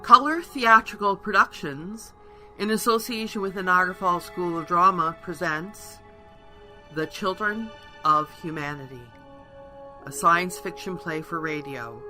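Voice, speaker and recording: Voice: medium pitch, measured pace. Radio play announcer over music.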